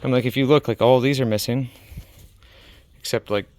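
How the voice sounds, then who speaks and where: A man's voice: medium pitch, fairly even intonation, moderate pace, darkish tone. A young man touring an abandoned film set for his vlog, talking loosely with lots of fillers and casual swearing, recorded on a handheld camera outdoors and inside an empty building. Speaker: vlogger touring a site. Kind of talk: casual walk-and-talk vlog.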